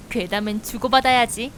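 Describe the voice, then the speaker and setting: A woman playing a young woman in a visual novel, telling a ghost story in a measured, conversational way. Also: a little high, a bit bright, slight background noise. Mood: casual, teasing. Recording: music behind the voice.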